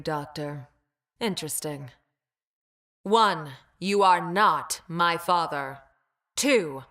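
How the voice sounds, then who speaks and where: An actress playing a cold, logical engineered creature in a video game, speaking in a deadpan, precise and formal way. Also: female voice, on the low side. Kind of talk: video game character voice lines.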